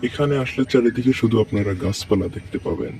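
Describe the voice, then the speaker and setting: A man's voice: medium pitch, fast talker, darkish tone, distant mic, faint music behind. A man with a Bangladeshi accent giving walking travel vlog commentary outdoors, guiding viewers around as he goes. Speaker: vlogger, tour guide to viewers.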